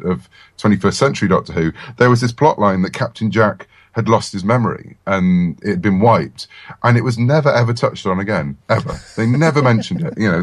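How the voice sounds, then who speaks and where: A man's voice: fairly low pitch, no echo. A man chatting casually and enthusiastically as the guest in an interview, talking as a comic writer.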